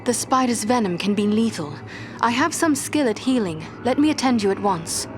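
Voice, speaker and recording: A woman's voice: fairly high pitch, animated, normal speed, music in the background, natural voice. Woman playing a composed fantasy heroine. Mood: earnest, composed. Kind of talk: video game cutscene acting.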